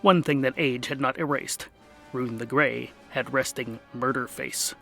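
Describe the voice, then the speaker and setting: A man's voice: medium pitch, steady tone, measured pace, creaky, pushed and bright, faint music behind. A man narrating a comic fantasy audio drama in a wry, mock-epic, emphatic theatrical style.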